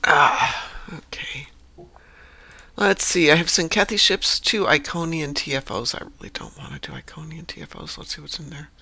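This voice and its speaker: A man's voice: fairly high pitch, moderate pace, vocal fry, sharp tone, husky. A man streaming a game, chatting casually and musing aloud as he sorts through menus. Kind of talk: casual chat while gaming.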